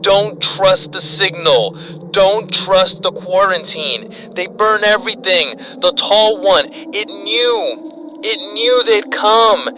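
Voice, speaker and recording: Man voicing a high-pitched desperate woman survivor. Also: expressive, slow pace, noisy background. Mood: desperate fury. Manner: urgent, defiant, clipped bursts. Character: desperate, furious woman survivor making final warning. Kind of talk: audio horror drama.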